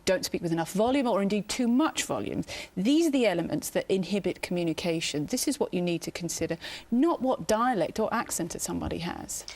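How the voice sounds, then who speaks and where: A woman, a vocal coach being interviewed live in a TV studio, speaking in a measured way with many restarts and fillers. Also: lowish voice, lively intonation, darkish tone.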